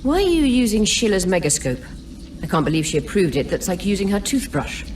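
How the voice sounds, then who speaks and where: An actress playing a cool, scheming sorceress in a fantasy video game, measured and dryly sardonic. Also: female voice, big pitch swings, a little hiss or hum, lightly acted. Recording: distant voice under loud rumbling ambience.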